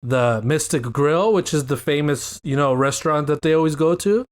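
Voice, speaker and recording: A man's voice: fairly high pitch, normal speed. A man from Miami with a Miami accent, hosting a casual podcast chat in a rambling, jokey way. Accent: Miami English. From Miami, USA. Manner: rambling and jokey, repeats "you know what I mean". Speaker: podcast host.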